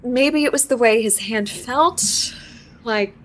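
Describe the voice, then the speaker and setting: A woman's voice: fairly high pitch, slow, a bit bright, slight background noise, low-quality recording. An actress playing a shaken, awed woman recounting her newfound magical power in a live audio drama. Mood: uneasy recounting. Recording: live theater stage recording.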